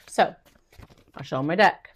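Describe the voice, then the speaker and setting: A woman's voice: low-pitched, moderate pace, husky. A middle-aged woman, a reading tutor, explaining a teaching routine to parents and teachers and acting out her exchanges with students. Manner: encouraging, acts out student exchanges. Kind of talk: instructional talk to parents and teachers.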